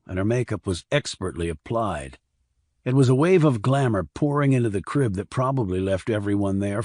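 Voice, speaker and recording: Low-voiced man narrating a thriller audiobook. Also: darkish tone, dry recording, clean studio sound. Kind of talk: scripted crime thriller narration.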